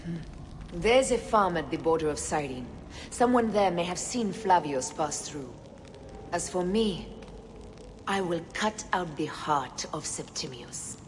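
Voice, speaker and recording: A woman's voice: lowish voice, animated, a little slow. A woman playing a vengeful ancient Egyptian warrior in a video game, grave, terse and restrained. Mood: cold resolve. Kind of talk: dramatic video game acting. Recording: reverberant, faint ambient noise.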